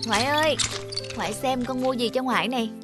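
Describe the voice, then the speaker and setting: A woman's voice: on the high side, brisk, over loud music, close to an everyday voice. A woman voicing a devoted, eager young grandson in a cartoon, earnest and respectful. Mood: eager, affectionate. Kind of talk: cartoon voice acting.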